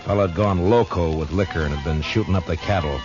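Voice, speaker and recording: A tough, weary frontier marshal played by a man with a low American voice, in hard-boiled 1950s radio western style. The vintage recording is dull and limited. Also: fast talker, music in the background, lightly acted. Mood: grim, weary.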